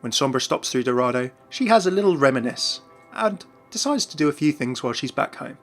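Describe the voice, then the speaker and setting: A man's voice: medium pitch, lively intonation, fairly quick, faint music behind. A man narrating scripted commentary for an online video.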